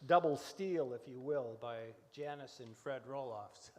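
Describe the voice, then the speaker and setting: A man's voice: mid-range voice, a little slow, natural voice. A man playing a chatty, placating broadcast host who hesitates, in a comic live radio play. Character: eager, placating male festival broadcast host. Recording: live reverberant hall.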